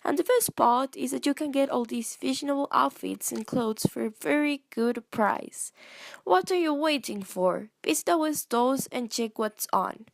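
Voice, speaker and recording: Woman playing a creaky-voiced boutique ad announcer. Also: high-pitched, animated, measured pace, no echo, heavily acted. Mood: inviting, promotional. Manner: salesy, addressing the audience directly. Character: promotional 1960s boutique advertisement announcer, female. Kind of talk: scripted radio-style advertisement.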